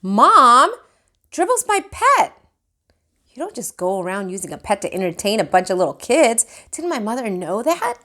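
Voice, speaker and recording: A put-upon schoolboy big brother, read by a woman in a children's story. He sounds exasperated and grudging, with pitch that jumps about. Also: big pitch swings, moderate pace, sharp tone. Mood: indignant. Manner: protesting, grudging. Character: put-upon, exasperated fourth-grade boy, older brother.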